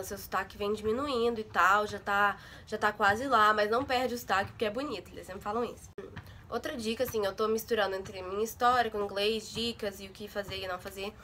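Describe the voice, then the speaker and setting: A woman's voice: a little high, sharp tone, a little hiss or hum. A young woman from Brazil in a casual vlog, chatting to her viewers and often adding little check-in words. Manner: chatty, fills with "sabe?" and "gente". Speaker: vlogger. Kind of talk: casual chat to camera.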